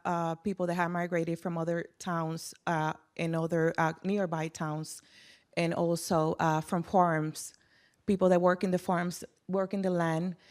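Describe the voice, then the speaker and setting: A woman speaking plainly and a little haltingly as a panelist giving testimony about her community. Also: on the low side, darkish tone. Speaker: panelist, community health worker.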